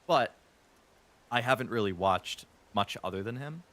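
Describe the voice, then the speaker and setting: A man streaming a game, chatting casually and rambling a bit, stuttering now and then when he talks fast. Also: medium pitch, animated, bright, forceful tone. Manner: rambling, chatty, lots of fillers.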